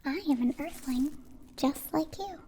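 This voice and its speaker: A woman playing a gentle, curious young alien in an ASMR roleplay, mostly whispering in slow, halting, formal phrases. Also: sits high, slight background noise. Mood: calm, matter-of-fact. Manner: slow, halting, formal wording without contractions. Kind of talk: whispered ASMR roleplay, close personal attention.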